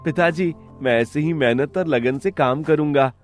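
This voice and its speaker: Man playing polite, high-pitched young village son. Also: normal speed, faint music behind, close to an everyday voice. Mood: excited, determined. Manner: polite, earnest. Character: studious, respectful, trusting young village son. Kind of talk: cartoon story voice acting.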